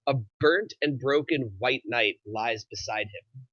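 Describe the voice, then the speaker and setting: A man reads stage directions as narrator of a script table read. His voice is medium-pitched with a bright, crisp edge. The recording is dry and a little dull. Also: a little slow, no echo.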